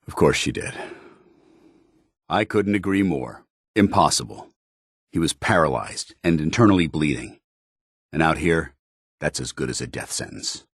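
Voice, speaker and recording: An actor playing a stern, earnest, dutiful male soldier in a video game, speaking with formal, measured military diction. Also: low voice, slightly rough, no echo, studio quality. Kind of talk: video game voice acting, companion dialogue.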